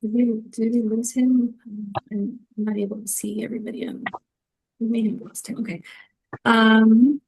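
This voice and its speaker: A woman's voice: a little high, level tone, moderate pace, gravelly, a little mellow, husky, rough recording. Polite woman moderating a webinar. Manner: polite, brief handoffs with fillers. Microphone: video call.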